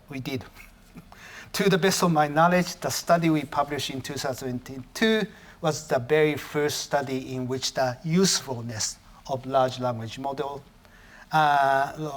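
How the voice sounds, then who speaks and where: A man whose first language is Japanese gives an academic lecture as a professor, often halting and correcting his own phrasing. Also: medium pitch.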